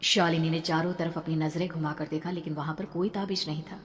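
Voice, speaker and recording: A woman's voice: deep voice, steady tone, brisk. A woman narrating a scripted horror story in a dramatic storytelling manner. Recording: reverberant, with background music.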